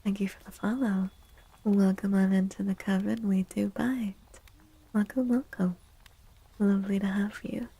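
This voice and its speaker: A woman streaming as a tarot host, speaking gently in a breathy ASMR style that often drops to a whisper, close to the microphone. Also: medium pitch, fairly even intonation, rough recording. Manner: gentle, slow, drawn-out phrasing. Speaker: livestream host doing tarot readings. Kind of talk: soft-spoken ASMR, chatting to viewers.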